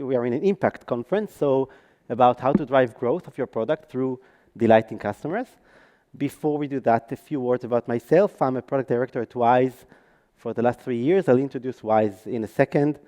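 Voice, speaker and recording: A man's voice: mid-range voice, moderate pace, a little mellow. Israeli-accented man giving a conference talk. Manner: hesitant, frequent ums and restarts. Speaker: conference speaker. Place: conference stage.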